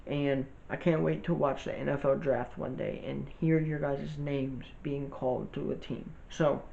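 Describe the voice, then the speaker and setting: Gravelly young man telling a rambling story. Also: mid-range voice, fairly even intonation, a little slow, a little mellow, lo-fi sound. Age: young adult. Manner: rambling, informal, with many restarts and fillers. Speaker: vlogger. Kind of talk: casual storytime monologue.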